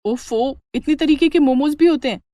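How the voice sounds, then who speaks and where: A woman voicing a lively, food-loving young village woman, chatty and eager, in a storybook tale. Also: high-pitched, moderate pace, dry recording, voice pushed up, close to an everyday voice. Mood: amazed.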